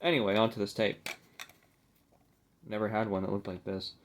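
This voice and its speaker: A young man with a somewhat low-pitched voice talks casually to his viewers. He rambles without a script, hesitating as he goes and speaking in a self-deprecating way. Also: little pitch movement, moderate pace, a little hiss or hum. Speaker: video creator showing a collectible. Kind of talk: casual unscripted vlog chat.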